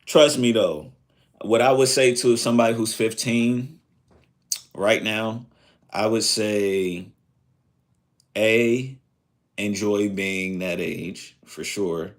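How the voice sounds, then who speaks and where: A man's voice: fairly low pitch, slow, pauses often. A man in his thirties chatting casually on a live stream, rambling and reflective, with lots of fillers. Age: 30s.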